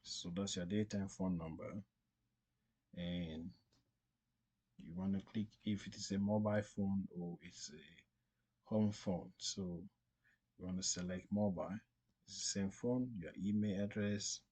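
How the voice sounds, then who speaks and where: A man with a deep voice presents a step-by-step tutorial. His delivery is slow and halting, circling back to repeat instructions. The tone is a little rough and unclear at the edges. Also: fairly even intonation, darkish tone. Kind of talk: step-by-step screen tutorial narration, unscripted.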